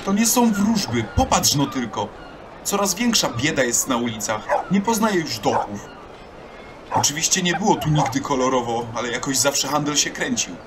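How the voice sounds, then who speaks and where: A man's voice: mid-range voice, lively intonation, normal speed, noisy background, lightly acted. An actor playing a bitter, argumentative young townsman in an audio drama. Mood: gloomy. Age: young adult.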